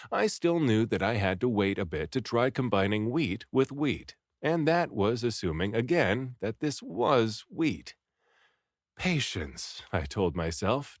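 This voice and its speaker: A man reading a story aloud in an expressive, dramatic first-person narration, acting out the hero's lines. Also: medium pitch, no echo, studio quality. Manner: animated, dramatic, acts out the first-person hero. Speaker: audiobook reader.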